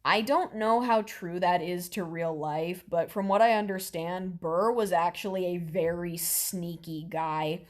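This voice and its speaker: A woman's voice: fairly low pitch, a little slow, few pauses. A woman hosting a reaction video in casual chat, rambling and explaining as she comments.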